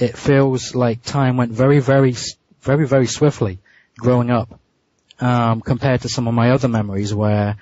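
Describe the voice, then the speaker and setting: A man being interviewed, telling a story in a casual, halting way with frequent restarts and repeated words. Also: mid-range voice, a little mellow. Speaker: interview guest. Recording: muffled dry lo-fi line.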